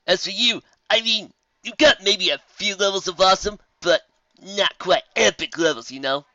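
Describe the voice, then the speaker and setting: A reader voicing a brash, boastful young mare DJ who talks loudly in slang. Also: mid-range voice, normal speed, no room sound, dull audio, voice pushed up. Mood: cocky.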